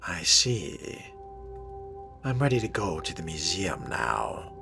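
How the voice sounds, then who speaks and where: A man role-playing his vampire character through a video game, speaking in a measured, theatrical, brooding manner. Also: deep voice, big pitch swings, gravelly, music in the background.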